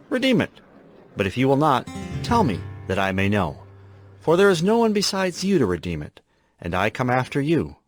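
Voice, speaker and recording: Guy playing a resolute bible-times landowner, solemn, really expressive pitch, bit of music behind. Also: lightly acted. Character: Boaz, honorable, resolute landowner of ancient Israel. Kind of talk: dramatized scripture reading.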